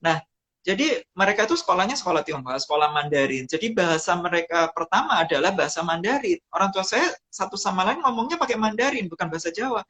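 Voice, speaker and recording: A man from Java tells his story over a video call in a fairly high voice. He speaks reflectively and stops often on hesitant "eh" sounds as he gathers his thoughts. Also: lively intonation, pushed and bright. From Java, Indonesia. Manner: reflective, with frequent "eh" pauses between phrases. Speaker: guest, writer and photographer. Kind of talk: conversational storytelling in a live-streamed interview.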